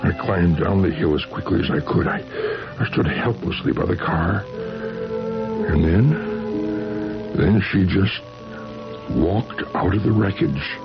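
An actor playing a distraught older husband in a 1970s radio drama, halting and repeating his words dramatically. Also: low-pitched. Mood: haunted, agitated. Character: distraught older husband recounting his wife's strange tale. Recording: muffled vintage radio recording, loud music.

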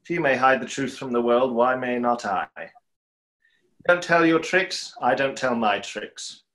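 A man's voice: mid-range voice, a little slow, fairly bright tone, close to an everyday voice. A young man playing a skeptical stage conjurer in an online play reading, with a dry, sardonic delivery. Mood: wry. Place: small room at home, video call.

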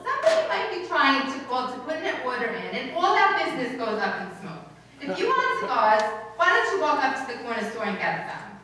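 A scatterbrained, chirpy housewife from an old radio comedy, acted by a woman. Her tone is high and piercing with lively swings. She is recorded from a distance in a roomy hall. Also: brisk, exaggerated voice. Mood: bossy. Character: chirpy, scatterbrained bossy housewife mangling idioms. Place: indoor hall stage before seated audience. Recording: roomy, distant stage recording, faint noise.

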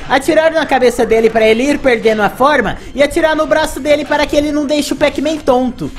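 High-voiced young man narrating game review. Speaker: host of a video game review channel. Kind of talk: scripted gameplay narration.

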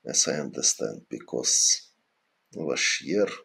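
A middle-aged man from Ukraine with a Ukrainian accent, chatting casually on a chess stream in halting English with many fillers. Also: rumbling low, animated, creaky, bright, forceful tone. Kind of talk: casual livestream chat answering viewers.

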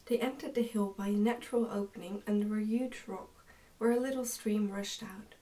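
A young woman reads a storybook aloud for ASMR. Her voice is relaxed and mellow, with a soft, whispery, careful delivery. She is recorded indoors, a little way off from the microphone. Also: medium pitch, moderate pace, far from the mic. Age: young adult. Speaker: ASMR narrator reading a book aloud. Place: indoors, small plain room.

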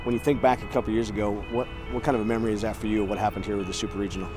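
A young man hosting a sports interview outdoors at a ballpark, upbeat and brisk as he sets up his questions. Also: fairly low pitch, steady tone. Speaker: host. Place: outdoors at a baseball field. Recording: music bed underneath. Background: music.

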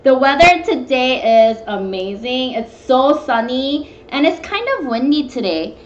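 Young female live-stream cooking host, high pitch, casual meandering chat, dull room sound with music behind. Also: expressive, measured pace. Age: young adult. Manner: informal, meandering, greeting viewers. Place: small home room, indoors at a table. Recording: echoey, dull webcam stream, background music.

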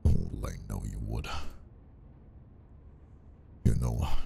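Guy playing a teasing bossy boss in asmr rp, super deep gravelly voice, slow breathy murmur right up on the mic. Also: dark tone, slight background noise, heavily acted. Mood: conflicted, yearning. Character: flirtatious, conflicted male boss, dominant and teasing. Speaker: voice actor and ASMR roleplayer. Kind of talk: intimate ASMR roleplay acting. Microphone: close ASMR microphone.

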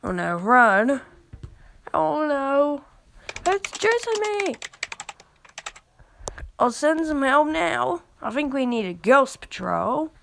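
A woman with a high voice talks casually through a mobile game at a very slow pace. She thinks out loud, exclaims and reads the game's text aloud, over some background noise and clicking. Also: very animated, gravelly. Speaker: gaming video host.

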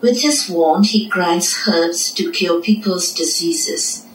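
A woman's voice: lowish voice, takes it slowly. A woman presenting a puppet show, reading scripted narration that introduces the dances. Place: indoor puppet theatre, heard from the audience. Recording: distant, echoey room with noise.

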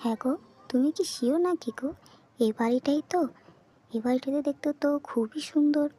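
A woman voicing a homely, excitable wife in a horror cartoon, with an expressive, coaxing way of talking. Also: high-pitched, brisk, slight background noise, natural voice. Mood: delighted. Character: excitable, homely young wife in a new house.

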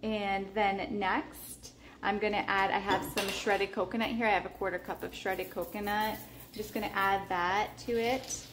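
A woman casually talking through her meal prep in a home kitchen, relaxed and chatty with lots of ums. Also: mid-range voice, normal speed, background noise. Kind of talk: casual cooking vlog narration. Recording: echoey kitchen with clattering dishes. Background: clinking cutlery, dishes and pans.

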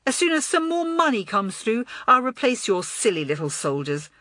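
An imperious teenage head girl from a children's storybook, played by a woman reader. She is spoiled and indignant, with lively, swooping pitch and a dry, close sound. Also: moderate pace, dry recording. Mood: blazing, defensive.

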